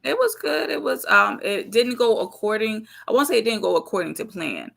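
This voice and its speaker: A woman co-hosting a podcast, chatting casually and pausing to search for her words. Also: a little high, vocal fry. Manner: relaxed, searching for words with many fillers. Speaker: podcast co-host.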